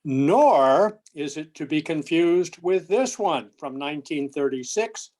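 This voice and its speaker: A man co-presenting a prepared but conversational lecture, with measured, deliberate phrasing. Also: on the high side, a little mellow.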